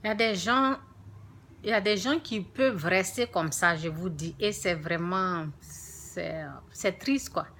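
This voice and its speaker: Female religious vlogger, francophone African accent. Bright, forceful voice, unhurried delivery, emphatic repetition. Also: on the low side, slight background noise. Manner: emphatic, repeats phrases for stress. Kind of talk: informal spiritual talk to viewers.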